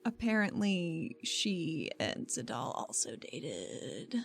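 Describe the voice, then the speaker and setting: A woman's voice: low voice, very animated, slow, creaky, faint music behind. A woman playing a tabletop game, chatting and narrating her character's actions informally, with casual swearing. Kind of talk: casual roleplay chat and narration.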